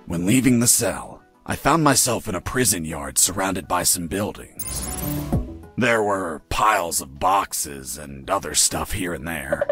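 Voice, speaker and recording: An actor playing a cocky captured commando pilot in a video game, telling his story in a deadpan, wry way. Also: deep voice. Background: background music.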